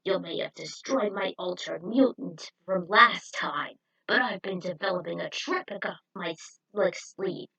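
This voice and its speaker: A woman voicing a theatrical, gloating monster villain in a fan story, with drawn-out declamatory taunts, recorded lo-fi. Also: fairly low pitch, gravelly, pushed and bright, husky, full character voice. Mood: smug, taunting. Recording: dull lo-fi home recording.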